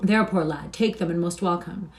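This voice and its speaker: A woman reading aloud and voicing a soft-hearted gentleman stranger, flustered and pitying. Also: fairly low pitch, moderate pace, natural voice.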